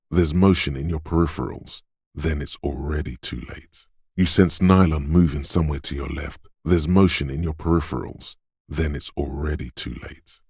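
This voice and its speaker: An actor playing the alert, wry inner voice of a detective's quick reflexes, narrating a video game in a deliberate, knowing way. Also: male voice, extremely low pitch, dark tone, husky, no echo, clean studio sound, exaggerated voice.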